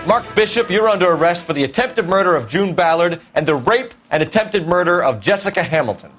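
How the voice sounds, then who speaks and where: An actor playing an authoritative, urgent police detective in a video game, with loud music behind him. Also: male voice, sits high, lively intonation, a little fast. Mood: stern. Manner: urgent, commanding.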